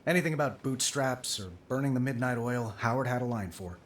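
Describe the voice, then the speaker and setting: An actor playing a weary, wisecracking superhero tycoon in a video game, dry and flippant. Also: male voice, medium pitch, brisk, a little hiss or hum, lightly acted. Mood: reflective, wry. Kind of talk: cinematic video game narration and dialogue.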